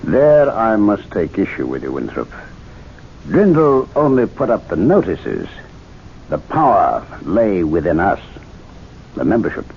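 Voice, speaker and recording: A man's voice: low voice, big pitch swings, unhurried, creaky, exaggerated voice. Give me a learned club member lecturing his peers, tinny old radio sound with light hiss. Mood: earnest. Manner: earnest, lecturing, emphatic. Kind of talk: 1970s radio drama acting. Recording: tinny, narrow-band old radio broadcast, faint hiss.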